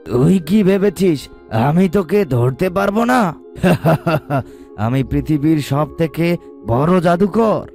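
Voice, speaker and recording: A man's voice: a little high, animated, takes it slowly, a little mellow. A man playing a boastful, threatening evil sorcerer in a cartoon. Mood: threatening, gloating. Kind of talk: cartoon villain acting. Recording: music behind the voice.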